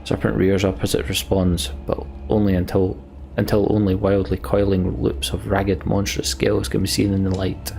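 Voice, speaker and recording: A man with a gravelly voice reading a game's story text theatrically as a let's play streamer. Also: sits low, level tone, moderate pace, mellow, a little hiss or hum. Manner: theatrical, acts out the characters' lines. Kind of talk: dramatic reading of game story text, with asides. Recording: game music behind the voice. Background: game music.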